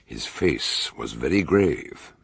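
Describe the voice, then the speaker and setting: An actor playing a grave, deliberate detective doctor in a radio mystery, with a gravelly, husky voice. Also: male voice, a bass voice, animated, full character voice. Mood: grave narration. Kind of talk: radio mystery drama with first-person narration.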